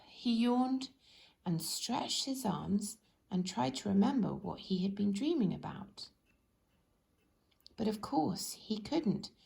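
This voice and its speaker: A woman's voice: medium pitch, vocal fry, darkish tone. A woman storyteller narrating a children's storybook.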